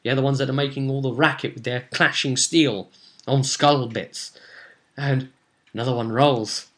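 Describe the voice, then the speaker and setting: A man's voice: medium pitch, steady tone, moderate pace, fairly bright tone. A young man recapping a tabletop game session in a rambling, dramatic, casual way, as a game master vlogging. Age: young adult. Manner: rambling, dramatic storyteller, gesturing. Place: small room at home, indoors.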